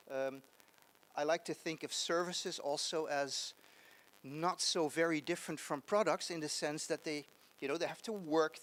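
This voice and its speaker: A man giving a relaxed conference talk on stage, pausing often with ums. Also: fairly high pitch. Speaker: conference speaker.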